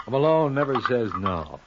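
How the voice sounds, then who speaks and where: An actor playing a wry, unflappable lawyer who wisecracks nonchalantly in an old-time radio crime drama. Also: male voice, medium pitch, lo-fi sound, background noise. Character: wry, unflappable male lawyer with a taste for drink.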